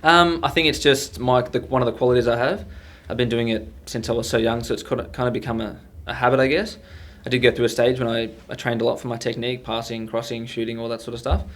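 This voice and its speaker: A man's voice: mid-range voice, fairly even intonation, quick pace, hardly any pauses, fairly bright tone. A young Australian man, a footballer, answering questions in a casual interview.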